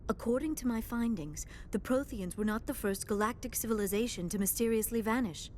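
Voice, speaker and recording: A woman's voice: fairly high pitch, brisk, a little mellow, slight background noise, natural voice. A woman voicing an earnest, polite young alien scholar in a video game, speaking in a precise, formal way. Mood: earnest, intent. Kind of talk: video game dialogue acting.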